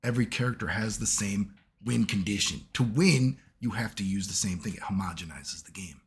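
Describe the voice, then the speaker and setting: A man, hoarse from being sick, giving casual analytical commentary as a video host and checking in with "right?" at nearly every turn. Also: lowish voice, a little fast. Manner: keeps asking "right?" as he lectures, swears casually.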